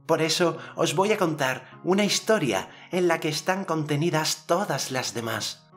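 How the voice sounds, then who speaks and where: A man voicing a confident, generous boy hero telling tales in an audiobook, in a measured manner. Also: a little high, expressive, fairly bright tone, faint music behind, voice pushed up. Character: generous, self-assured boy storyteller hero, inventing tales. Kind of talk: audiobook character narration.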